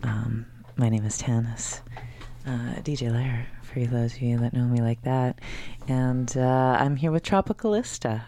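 Slow, hesitant woman radio host. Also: extremely low pitch, warm tone, a little hiss or hum. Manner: hesitant, many fillers, laughs often. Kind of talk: casual radio interview. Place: community radio studio.

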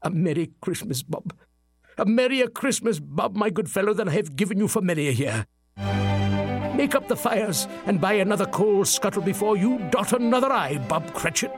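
A man's voice: high voice, animated, moderate pace, over music, pitched above the performer's own voice. A man voicing an elderly former miser who is now giddy and jovial, stammering and breathless.

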